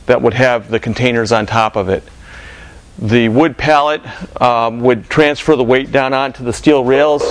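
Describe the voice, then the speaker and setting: A middle-aged man presenting a company product in an informal demonstration, explaining haltingly with frequent pauses. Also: mid-range voice. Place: warehouse with metal walls and racking.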